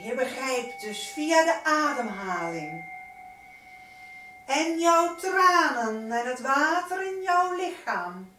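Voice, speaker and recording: A woman with a high voice leads a spiritual meditation, solemn and incantatory. She lingers on phrases and repeats them. The recording is roomy, with clear background noise. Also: very animated, takes it slowly, bright, forceful tone. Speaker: spiritual teacher leading a light-circle meditation. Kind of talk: spiritual guided meditation talk. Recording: roomy, distant microphone, noisy background.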